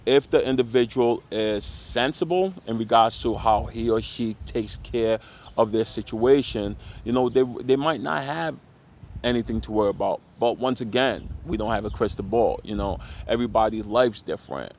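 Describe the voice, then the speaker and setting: A man's voice: medium pitch, normal speed, darkish tone, a little hiss or hum. A man hosting a casual real estate interview, rambling a little and backing up his guest with frequent "mm-hmm"s. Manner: constant "mm-hmm" backchannels, rambling. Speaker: podcast host, real estate agent.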